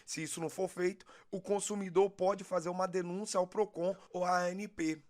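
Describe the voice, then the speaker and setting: A woman's voice: lowish voice, steady tone, a little fast, darkish tone, natural voice. A woman with a Brazilian accent playing an attentive questioner in a scripted educational cartoon.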